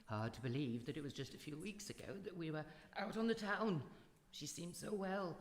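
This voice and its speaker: A woman's voice: sits low, normal speed, close to an everyday voice. A woman playing a courteous, sympathetic old friend in a live radio drama, with a polite, genteel manner. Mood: subdued, soothing. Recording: echoey hall, live stage performance.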